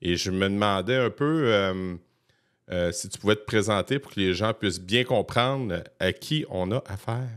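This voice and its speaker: A man's voice: lowish voice, moderate pace, a bit bright. A man hosting an interview, asking questions in a hesitant, encouraging way. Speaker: podcast host. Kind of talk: conversational interview.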